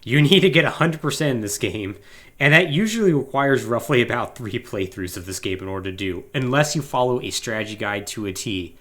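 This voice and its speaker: A man co-hosting a podcast in casual discussion of video games, talking in an animated way. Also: medium pitch, brisk. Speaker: podcast co-host.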